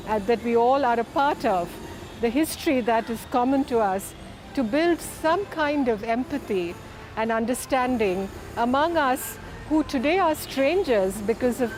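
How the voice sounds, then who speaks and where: A woman's voice: high voice, darkish tone, low-quality recording. Reflective woman over orchestral music. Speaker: founder of the orchestra foundation. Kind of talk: documentary interview.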